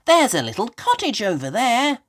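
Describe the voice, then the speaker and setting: A woman's voice: on the high side, huge pitch swings, moderate pace, pitched above the performer's own voice. A woman voicing a cheerful, imaginative little girl pig in a children's storybook, eager and sing-song. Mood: excited. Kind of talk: read-aloud children's storybook voice.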